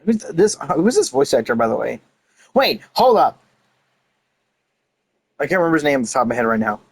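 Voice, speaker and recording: A man's voice: mid-range voice, sing-song, fairly quick, breathy edge, dry recording. A man giving casual video game commentary, rambling and thinking aloud as he plays. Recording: faint game music behind.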